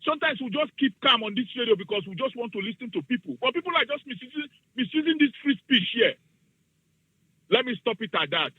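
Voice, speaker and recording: Man, Nigerian accent, radio caller, fervent and preachy, high husky voice, tinny phone line. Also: lively intonation, fast, no echo. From southeastern Nigeria. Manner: fervent, preachy, mixes in Igbo phrases. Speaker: radio call-in listener. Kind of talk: impassioned call-in speech.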